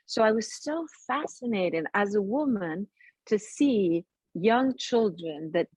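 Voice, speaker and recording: Raised woman, animated and rambling. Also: mid-range voice, takes it slowly, breathy edge, dry recording, rough recording. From Italy. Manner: animated, restarts sentences often. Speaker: guest actress. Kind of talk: casual interview over a call.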